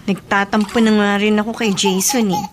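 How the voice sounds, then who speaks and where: An actress in a Filipino radio drama playing a soft-hearted, slightly sulky young mother. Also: medium pitch, flat delivery, moderate pace, heavy background noise, natural voice. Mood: wistful, hurt.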